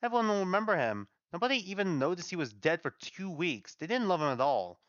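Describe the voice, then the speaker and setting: Man reading as a bitter, blunt, animated mourner. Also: on the high side, moderate pace, no echo, muffled recording. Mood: angry, exasperated. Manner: blunt, exasperated. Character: bitter, angry, blunt mourner of a dead friend. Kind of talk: dramatic read-aloud of a story.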